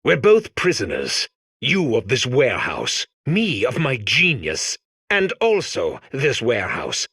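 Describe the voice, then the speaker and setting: A man voicing a scheming, grandiose villain robot with a put-on British accent and a raspy, melodramatic delivery. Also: medium pitch, wildly swooping pitch, a bit bright, no room sound, studio quality, heavily acted. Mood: menacing, grandiose. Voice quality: gravelly, raspy. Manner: slow, menacing, melodramatic.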